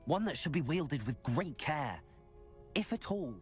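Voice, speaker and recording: A young man acting as an earnest, cautious student wizard in a fantasy video game, speaking in a measured way. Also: fairly high pitch, animated, faint music behind. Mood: cautious, thoughtful.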